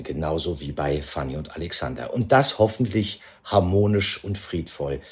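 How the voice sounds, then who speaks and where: A man giving an informal holiday greeting in a playful, expressive manner. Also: low-pitched.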